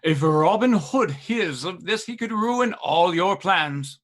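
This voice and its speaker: A man's voice: high voice, animated, slow, fairly bright tone, exaggerated voice. An actor playing a nervous, obsequious sheriff in a radio play, flustered and stammering as he courts favor. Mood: fawning. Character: fawning, nervous male sheriff, greedy for favor.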